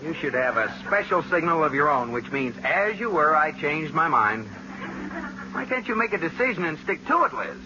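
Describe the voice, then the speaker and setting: A muffled, noisy vintage radio recording brings in a sarcastic sitcom husband. His fairly high voice rises and falls freely, dry and exasperated with his wife. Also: big pitch swings, moderate pace. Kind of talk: 1940s radio comedy acting.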